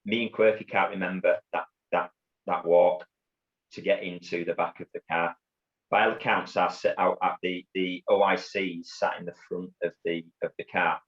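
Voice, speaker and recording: A middle-aged man with a Yorkshire accent, telling his story as an interview guest in a halting way, with many restarts and repeated words. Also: sits low, steady tone. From Bradford, England. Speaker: guest, former undercover police officer. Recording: dull lo-fi audio.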